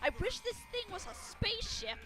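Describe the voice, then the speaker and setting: A woman voicing an eager, excitable young male cartoon astronaut in a dramatic reading. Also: extremely high pitch, moderate pace, over music, voice pushed up, exaggerated voice. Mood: frustrated.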